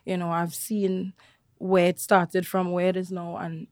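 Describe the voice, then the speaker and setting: A young Trinidadian woman from the countryside who is a singer, talking relaxed and chatty as an interview guest, with many fillers and restarts. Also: on the low side, measured pace, no room sound, studio quality. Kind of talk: casual interview chat.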